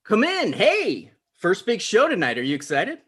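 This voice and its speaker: A man's voice: high voice, huge pitch swings, normal speed, fairly bright tone, husky, pitched above the performer's own voice, full character voice. A man playing an anxious, self-important talk show host in his thirties in a comedy table read, petulant and defensive. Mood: eager. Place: video call.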